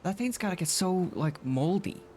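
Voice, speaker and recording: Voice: mid-range voice, animated, normal speed. A game streamer giving casual live commentary, musing and thinking aloud. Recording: loud game music behind. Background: game music.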